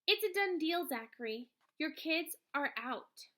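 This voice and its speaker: A woman's voice: sits high, lively intonation, measured pace, pushed and bright, voice pushed up, exaggerated voice. A woman voicing a stern, dismissive school principal in a storybook, speaking with insistent authority.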